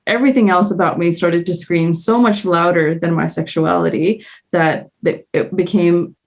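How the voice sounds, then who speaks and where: A woman's voice: lowish voice, steady tone, moderate pace. A woman with a Canadian accent, speaking as a guest on a panel. She talks in an earnest, reflective way and thinks aloud as she goes. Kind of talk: candid panel discussion.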